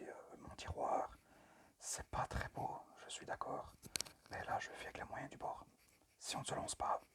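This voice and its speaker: Man whispering a hesitant ASMR presentation. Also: medium pitch. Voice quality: breathy unvoiced whisper. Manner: hesitant, unpractised, apologetic. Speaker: amateur ASMR creator and game collector. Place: at a desk indoors. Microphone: phone microphone.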